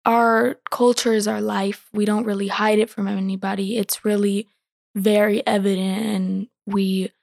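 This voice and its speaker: A thirteen-year-old girl answering interview questions thoughtfully, pausing with many fillers. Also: mid-range voice, flat delivery, gravelly, darkish tone, no room sound, studio quality. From born in Ecuador, raised in the United States. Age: teens. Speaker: guest.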